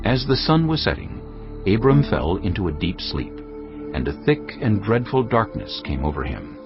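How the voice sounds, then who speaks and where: A man narrating scripture from a script in a measured, dramatic way. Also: sits low, big pitch swings, creaky. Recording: slightly dull audio, music behind. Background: background music.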